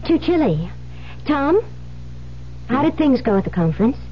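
An American actress playing a frightened, anxious new bride who speaks hesitantly and evasively, in 1970s radio drama style. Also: female voice, sits high, sing-song, normal speed. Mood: guardedly evasive. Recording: muffled, hissy old radio broadcast with hum.

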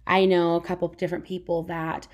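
A young woman speaks in a scratchy, gravelly voice that sits a little low. She talks to camera in a frank, conversational way, leaning on "like" as a filler. The delivery is candid and unpolished, like an everyday vlog. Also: little pitch movement, quick pace, dull audio. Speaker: vlogger. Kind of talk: candid personal talk to camera.

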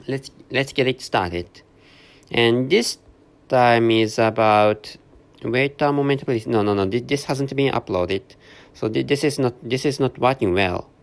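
A man with a Japanese accent hosting a solo podcast from a hotel room, speaking English slowly and carefully, with constant restarts and self-corrections. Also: medium pitch. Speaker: podcast host. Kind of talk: unscripted solo podcast monologue.

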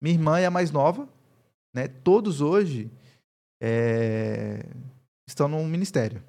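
A man in his thirties with a mixed accent from Paraíba, Brazil, a pastor calmly telling his story as an interview guest. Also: a little high, a little slow, gravelly. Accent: mixed Paraíba Brazilian Portuguese. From Campina Grande, Paraíba, Brazil. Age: 30s. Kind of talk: casual interview conversation.

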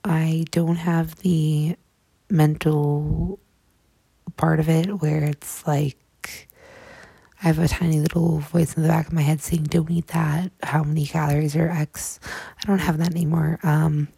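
A young woman with a low, husky voice tells her own story slowly and haltingly, stopping often and starting again. Her tone is dark and muffled, and the recording is dry and close. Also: level tone, no echo. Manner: halting, soft-spoken, many fillers and restarts. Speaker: podcast host. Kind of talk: personal storytelling monologue.

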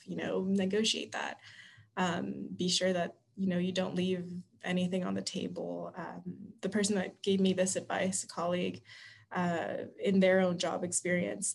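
A woman's voice: fairly low pitch, vocal fry. A woman on admissions staff giving earnest advice as a podcast guest, often restarting words, over remote call audio. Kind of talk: casual podcast conversation.

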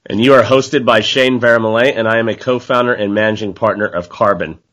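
A man's voice: on the low side, steady tone, a little fast, a bit bright. A man hosting a podcast interview, chatting casually and loosely, with lots of "you know" and "uh". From United States. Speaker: podcast host.

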